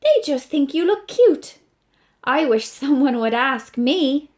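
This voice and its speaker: Cheerful patient pal, male, high and lifted, voiced by an Irish woman; storybook reassurer. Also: lively intonation, takes it slowly. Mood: reassuring. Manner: reassuring, coaxing. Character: kind, patient male friend.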